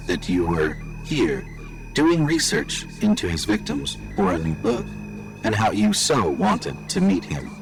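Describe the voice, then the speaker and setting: A man voicing a calm, calculating and sinister AI house assistant in audio horror fiction, measured and matter-of-fact. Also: sits low, heavily acted, rough recording.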